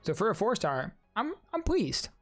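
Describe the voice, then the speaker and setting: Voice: mid-range voice, huge pitch swings, moderate pace. Reaction host: casual, enthusiastic fan commentary in a mid-range voice, faint music underneath. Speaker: video host reacting to and ranking trailers. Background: music from the trailers.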